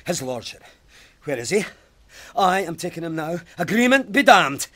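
An actor playing a pious, stubborn, haunted police sergeant in an audio drama, speaking in an intense, formal, demanding way. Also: male voice, a little high, big pitch swings, moderate pace, pushed and bright, full character voice. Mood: furious. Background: music.

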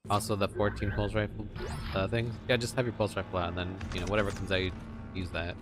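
A man with a low voice talks casually as he guides someone through a video game. Loud game music plays behind him. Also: flat delivery, fast talker. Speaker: gaming commentator guiding a player. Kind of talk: casual let's-play chat. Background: video game music and rumble.

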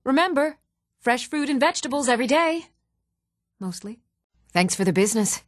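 An actress playing an even-toned townswoman and shopkeeper in a fantasy video game, speaking in measured, clearly enunciated, theatrical phrases. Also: high voice, dry recording, studio quality. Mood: hawking wares. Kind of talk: scripted video game voice acting.

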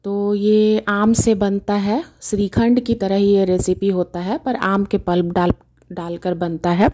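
A woman's voice: medium pitch, little pitch movement, measured pace, mellow. A woman hosting her own cooking channel, chatting through a recipe step by step in her home kitchen. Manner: chatty, homely step-by-step explaining.

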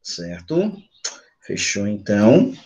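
A man's voice: on the low side, wildly swooping pitch, takes it slowly, mellow, dull audio. A man in his forties with a Brazilian accent, an English teacher patiently explaining step by step on a video call, often adding little checking tags. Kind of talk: online lesson, explaining and switching between Portuguese and English. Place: small room at home or study with bookshelves. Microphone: computer mic on a video call.

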